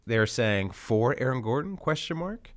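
A man's voice: medium pitch, very animated, a little slow, fairly bright tone. A man hosting a podcast in a casual, joking style. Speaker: podcast host. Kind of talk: casual banter and comedic dramatic reading.

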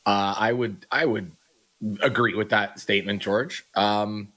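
A man's voice: lowish voice, steady tone, unhurried, pushed and bright. A man co-hosting a sports radio show, talking casually and restarting his sentences often as he argues his points.

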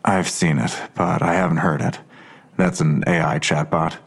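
An actor playing a weary, sardonic middle-aged police officer in an audio drama, dry and terse, with a husky voice. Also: male voice, extremely low pitch, steady tone, moderate pace, exaggerated voice. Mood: guarded, serious.

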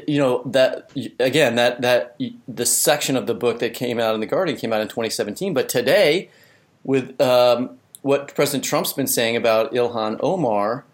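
A man's voice: mid-range voice, normal speed. A man hosting a podcast interview in an informal, conversational way, with hesitant phrasing. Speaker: podcast host.

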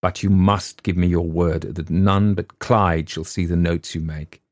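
A man's voice: deep voice, steady tone, measured pace, no echo, clean studio sound. A man voicing an irascible old father who guards a painting, snarling and scornful in a storybook reading. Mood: vehement. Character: irascible old male art-collector father. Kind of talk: audiobook reading.

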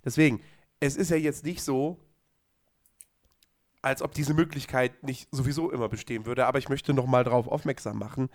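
A man co-hosting a podcast, hoarse from a cold, chatting casually with lots of fillers and restarts. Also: medium pitch, fairly even intonation, brisk. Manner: rambling, many fillers and restarts. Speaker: podcast co-host. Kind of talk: casual chat.

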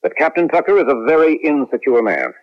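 An actor playing a resentful, manipulative colonel in a late-1970s radio drama. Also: male voice, fairly high pitch, sing-song, fast talker. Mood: confiding, insinuating. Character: scheming, resentful male colonel, smooth manipulative instructor. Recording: muffled, lo-fi vintage radio recording.

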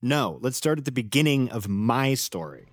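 An actor playing a jittery, wry American assassin on the run, narrating an audio drama in a halting, self-interrupting way. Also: male voice, mid-range voice, big pitch swings, faint music behind, lightly acted. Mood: exasperated. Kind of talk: audio drama acting and first-person narration.

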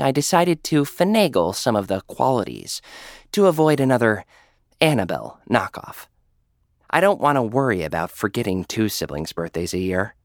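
A low-pitched man tells a science-fiction story in the first person, his delivery dry and sardonic with an arrogant edge. The studio sound is close and dry, with no room around the voice. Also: no echo. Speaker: narrator and lead character. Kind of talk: scripted first-person audio drama narration.

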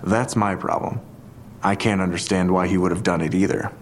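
Very deep, measured male detective, acted by a man. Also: steady tone, a little hiss or hum, close to an everyday voice. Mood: pensive, skeptical. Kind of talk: audio drama acting.

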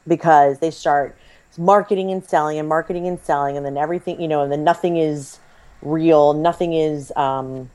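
A woman, likely in her thirties, chatting casually as a podcast co-host, her voice slightly hoarse and froggy, rambling and self-deprecating. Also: deep voice, steady tone, moderate pace, warm tone.

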